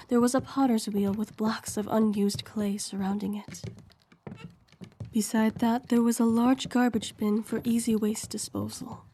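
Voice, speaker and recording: A woman's voice: on the high side, warm tone, slight background noise. A woman playing a curious young recruit who narrates her own story in an audio drama. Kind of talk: first-person scripted narration, audio drama.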